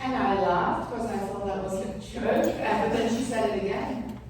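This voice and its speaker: A woman's voice: medium pitch, steady tone, moderate pace, very noisy. A middle-aged woman from the Netherlands, a native Dutch speaker, doing a stand-up set with dry, sarcastic punchlines. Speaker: stand-up comedian. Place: comedy club stage. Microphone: handheld stage mic. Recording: roomy club sound from far off. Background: crowd laughter.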